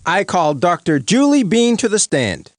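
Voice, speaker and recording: Pompous courtroom prosecutor for animation: high, animated, grandiose. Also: male voice, measured pace, noisy background. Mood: self-important. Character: pompous, theatrical male prosecuting lawyer. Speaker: lawyer character. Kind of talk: animated courtroom cartoon voice acting.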